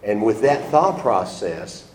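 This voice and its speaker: A man's voice: on the low side, very animated, takes it slowly, background noise. Stuffed-up guy preaching and reading king james verses, echoey room. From United States. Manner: reads scripture aloud in King James wording. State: congested from flu. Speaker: Bible teacher. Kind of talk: sermon teaching.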